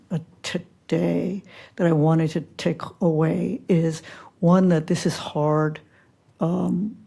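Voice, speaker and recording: A woman physician giving roundtable reflections, soft-spoken and halting, with many fillers. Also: on the low side, gravelly. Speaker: physician panelist. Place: conference room.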